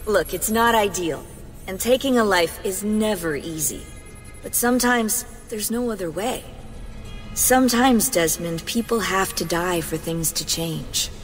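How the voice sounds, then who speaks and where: A woman's voice: mid-range voice, measured pace, long reverb tail, faint music behind, natural voice. A woman with an American accent playing an easygoing, wry young team technician in a video game, speaking casually. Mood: earnest. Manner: casual, teasing. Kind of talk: naturalistic video game acting.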